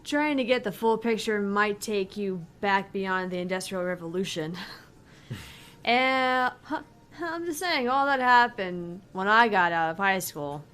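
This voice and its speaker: A woman voicing a polite, thoughtful young bartender in a visual novel, earnest and measured as she explains things. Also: medium pitch, expressive, fairly bright tone, smooth. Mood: earnest, explaining. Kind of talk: visual novel read-aloud.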